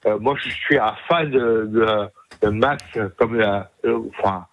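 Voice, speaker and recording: A man in his forties with a congested nose, talking in a halting, rambling, repetitive way as a phone-in caller. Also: lowish voice, highs cut off. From Franco-Belgian, lives in Brussels. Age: 40s. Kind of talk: casual chat. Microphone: phone call.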